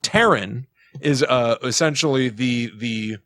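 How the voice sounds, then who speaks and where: Guy podcast cohost, chatty, explains stuff kinda thinking out loud, mid-low pitch normal voice, super dry sound. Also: slow, dry recording. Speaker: podcast co-host. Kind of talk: casual explanatory chat.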